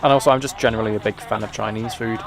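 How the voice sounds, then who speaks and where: A man's voice: fairly low pitch, fairly even intonation, rapid-fire. A man chatting casually as he streams a video game, with dry, wry asides. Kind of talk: casual livestream gaming chat and commentary.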